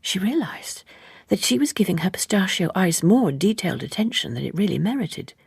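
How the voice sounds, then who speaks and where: A woman narrating literary fiction as an audiobook, measured and wryly understated in her reading. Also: medium pitch, sing-song, vocal fry, husky, dry recording, studio quality.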